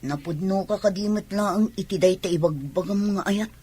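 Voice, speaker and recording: A woman's voice: mid-range voice, normal speed, warm tone, slightly rough, lightly acted. A woman playing a coy young niece who is being courted, in an Ilocano-accented radio drama.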